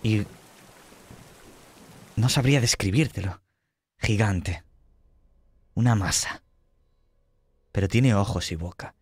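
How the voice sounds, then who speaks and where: A man acting as game master, narrating a horror scene in a deliberate, descriptive way with pauses. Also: on the low side, breathy edge, no room sound. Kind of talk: improvised tabletop horror narration.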